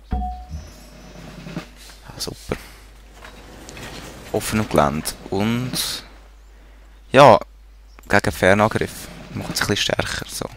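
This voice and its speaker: A man's voice: fairly low pitch, vocal fry, background noise. A man with a Swiss German accent giving casual commentary while playing a strategy game, muttering to himself and talking to the units on screen. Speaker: let's play gamer.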